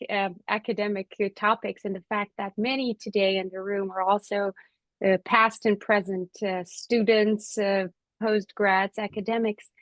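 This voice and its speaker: A woman's voice: medium pitch, no room sound. Woman moderator, dry close sound. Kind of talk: chairing a Q&A.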